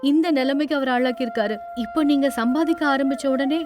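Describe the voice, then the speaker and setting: A woman's voice: sits high, a little fast, close to an everyday voice. A woman voicing a hurt, devoted elder sister-in-law, tearful and indignant, in a moral story. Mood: tearful, reproachful. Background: faint music.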